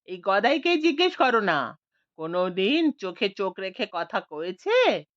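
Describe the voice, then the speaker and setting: A woman voicing a boastful retired male police inspector who talks in a booming, swaggering way, in a storybook reading. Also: sing-song, unhurried, a bit bright. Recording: dull lo-fi dry recording.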